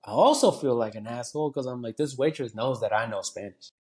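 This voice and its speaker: A man's voice: medium pitch, fairly quick, darkish tone. An American man chatting casually as a comedian guest, slangy and sweary, telling stories with quoted asides. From United States.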